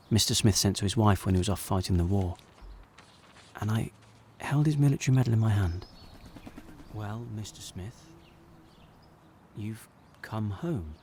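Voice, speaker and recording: An actor playing a regretful, sincere jobbing actor, narrating an audio drama in a halting, plain-spoken way. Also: male voice, fairly low pitch, a little mellow, slightly rough. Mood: reflective. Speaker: actor narrating as lead character.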